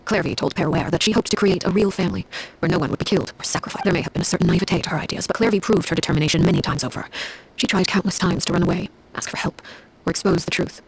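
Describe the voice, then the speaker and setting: An actress playing a cold, composed woman of high rank in a video game, telling grim history in a measured, formal, unsentimental way. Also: creaky, a little hiss or hum, rough recording. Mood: somber, measured. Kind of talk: scripted dramatic game dialogue. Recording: music behind the voice.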